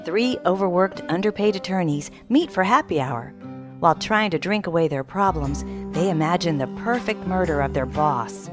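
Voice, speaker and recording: Low, animated woman narrator over music. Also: moderate pace. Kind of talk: scripted narration, theatrical.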